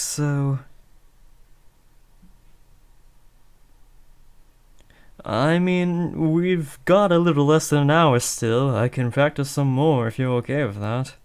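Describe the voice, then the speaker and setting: A man's voice: medium pitch, a little mellow, clean tone, close to an everyday voice. A young man playing an awkward, lovestruck teenage would-be supervillain in a comedic audio roleplay, switching between theatrical menace and hesitant rambling. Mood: awkward, unsure. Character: awkward lovestruck teenage boy, aspiring supervillain, eager, insecure. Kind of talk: comedic roleplay audio drama addressed to the listener.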